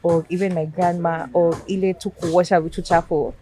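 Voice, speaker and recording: A young woman with a Kenyan accent tells her story in a relaxed interview. Her voice sits a little low and is animated, and she drops in Swahili phrases and laughs easily. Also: normal speed, soft background music. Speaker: chef guest. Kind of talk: casual interview chat.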